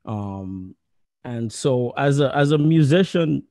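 Trinidad-born guy on a zoom panel, warm mellow voice, dry close sound, bit halting. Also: medium pitch, animated, dry recording. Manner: earnest, fillers and repeated words. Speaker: musician and associate professor. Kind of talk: panel talk. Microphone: earphones on a video call.

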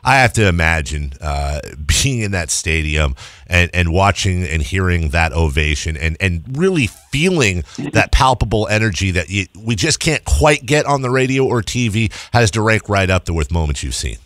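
Low-voiced male sports radio host. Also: animated, moderate pace, a bit bright, no room sound, clean studio sound. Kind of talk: casual radio interview chat. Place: small padded radio studio. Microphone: close studio broadcast mic.

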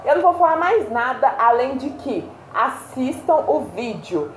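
A young woman co-hosting a casual video chat, animated and laughing often as she talks. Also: high voice, measured pace, slight background noise. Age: young adult. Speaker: co-host. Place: indoor room against a plain wall. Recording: roomy echo.

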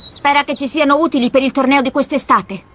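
A woman playing a polite, earnest, quietly firm teenage boy in an anime dub. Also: high-pitched, fairly quick, slight background noise. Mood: eager. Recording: faint music behind the voice.

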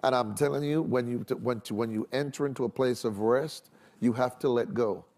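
A man's voice: medium pitch, fairly quick, a little mellow. A middle-aged man, a minister, teaching in an emphatic, explanatory way on a studio panel and repeating phrases for weight. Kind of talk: preaching-style panel talk on a religious TV show. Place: large TV studio set with audience.

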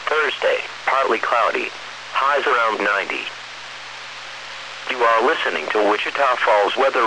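Male, automated weather announcer, scripted forecast, impersonal measured delivery, medium pitch, noisy radio static.

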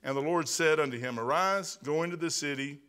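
Middle-aged guy preaching in a church, bright punchy voice, talks plain and repeats himself a lot. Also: fairly high pitch, fairly quick, smooth. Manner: emphatic, conversational preaching with repetitions and restarts. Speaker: pastor. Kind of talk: sermon. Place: church sanctuary, indoors. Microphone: pulpit microphone, far camera.

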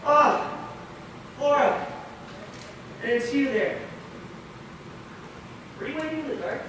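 A fussy, flattering radio show host acted by a young man speaks high and theatrically. It is an amateur stage play, picked up from far off with loud noise. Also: takes it slowly, very echoey, full character voice. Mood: exasperated. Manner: theatrical, projected, exclamatory. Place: large indoor theater stage, far camera.

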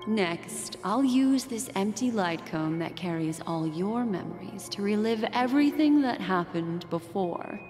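A woman voicing a mysterious fortune-teller in a video game, telling a slow, soothing bedtime-story recap. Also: medium pitch, lively intonation, a little mellow, lightly acted. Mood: soothing, enigmatic. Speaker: game character narrating a recap. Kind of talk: video game cutscene voice acting. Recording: game audio with long reverb and music.

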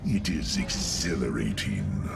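Game casting: dignified machine warrior, low creaking synthetic voice, slow delivery, music behind. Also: male voice, big pitch swings, big-room sound, distant mic, full character voice. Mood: proud, wondering. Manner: deliberate, formal, intense. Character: proud self-aware robot warrior, earnest and dignified. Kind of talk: dramatic video game cutscene acting.

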